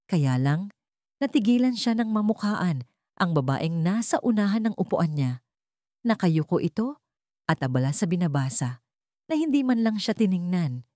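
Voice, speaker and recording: A woman reads a dramatic story with very varied intonation. Her voice sits low for a woman, and the sound is dry, with hardly any room in it. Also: big pitch swings, normal speed, pauses often, dark tone, no echo. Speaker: audiobook narrator. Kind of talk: scripted dramatic story narration.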